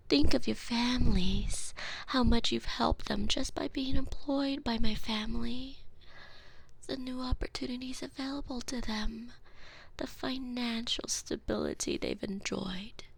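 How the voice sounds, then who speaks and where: A woman performs a cold, elegant vampire mistress in a binaural audio story. She talks very slowly and deliberately, with a gravelly fry in her mid-range voice. She often sinks into a close, menacing whisper. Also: mid-range voice, exaggerated voice. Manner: slow, measured, often dropping to a whisper. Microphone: binaural microphone.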